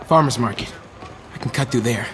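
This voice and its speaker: A man's voice: medium pitch, brisk, crowd noise, lightly acted. A man playing an earnest, nervous teenage boy in a video game, speaking casually and youthfully. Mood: tense, muttering. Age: young adult. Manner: casual, youthful muttering to himself. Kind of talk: naturalistic video game acting.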